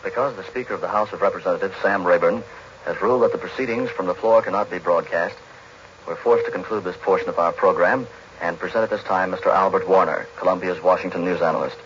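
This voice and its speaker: A man's voice: sits low, fast. An American man announcing formally in a 1940s radio broadcast. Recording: muffled, hissy 1940s radio recording.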